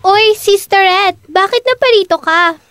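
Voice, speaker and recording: Woman as a very high-pitched campy teasing friend. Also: unhurried, fairly bright tone, no room sound, studio quality. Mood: teasing, gleeful. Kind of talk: amateur radio drama acting.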